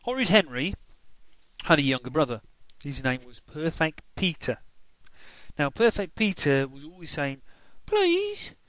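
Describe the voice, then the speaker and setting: A man reading a children's storybook aloud, expressive and emphatic, with playful asides. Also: fairly high pitch, normal speed, dry recording, low-quality recording.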